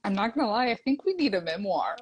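A woman's voice: medium pitch, big pitch swings, fast talker, husky. A woman who grew up in the American South hosts a casual interview over a video call, eager and laughing along. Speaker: interviewer host.

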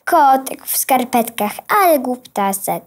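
Bold little girl, seven, for kids' audio play, female actor: inquisitive, childlike, high lively voice. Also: a little slow. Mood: curious, friendly. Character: curious, bold seven-year-old girl. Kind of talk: children's audio drama acting.

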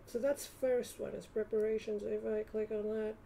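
A woman's voice: mid-range voice, flat delivery, moderate pace, vocal fry, mellow, a little hiss or hum. A woman vlogger thinking aloud casually in a rambling, hesitant way as she browses on screen.

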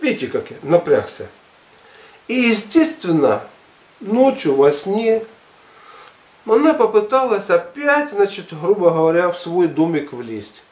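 A man in his sixties talking casually to camera in a halting, emphatic, colloquial way. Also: sits high, big pitch swings, darkish tone. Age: 60s. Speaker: health and fitness vlogger. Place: furnished living room at home, indoors. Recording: echoey room, camera at a distance.

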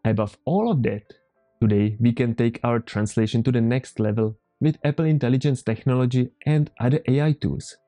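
A man in his thirties with a Czech accent, calmly walking viewers through a computer tutorial step by step as the channel's host. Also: mid-range voice, moderate pace, faint music behind. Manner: calm, methodical step-by-step explaining. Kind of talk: screen-recorded software tutorial. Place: home room, indoors.

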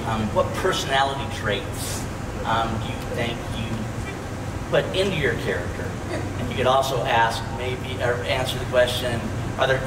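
A man's voice: medium pitch, distant mic. A man casually moderating a live panel on stage, recorded from out in the audience. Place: large convention hall stage, recorded from the audience. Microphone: audience camera far from the stage PA. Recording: reverberant hall from afar, noisy.

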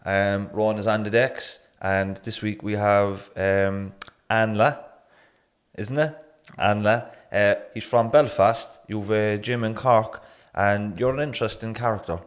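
Low-voiced man hosting a podcast. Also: a little slow. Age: 30s. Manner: relaxed, hesitant with ums. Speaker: podcast host. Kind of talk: casual interview.

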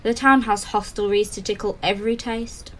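A woman playing a brooding, poetic storyteller in an audio drama, with deliberate dramatic delivery. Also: mid-range voice, steady tone, close to an everyday voice. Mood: brooding, ominous. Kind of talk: scripted audio drama narration. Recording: faint background ambience.

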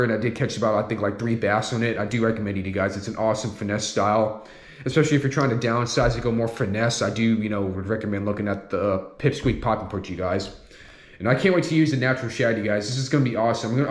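A young man hosting a casual fishing vlog at home, speaking to a camera on his desk and rambling as he fills his talk with "you guys" and "you know.". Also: lowish voice. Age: young adult. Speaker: fishing channel host. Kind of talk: casual lure review vlog. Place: home room with large window, indoors. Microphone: camera on desk facing him. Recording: echoey room.

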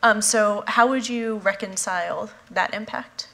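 A woman in her thirties moderating an onstage conference conversation, asking measured questions with frequent ums. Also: mid-range voice, fairly even intonation, creaky, sharp tone. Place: conference stage before an audience.

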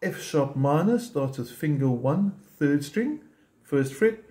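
A man's voice: on the high side, animated, takes it slowly, mellow. A man teaching ukulele chords step by step in a patient, methodical way, recorded in a home music room with the camera close on his instrument. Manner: patient, methodical, explains while playing.

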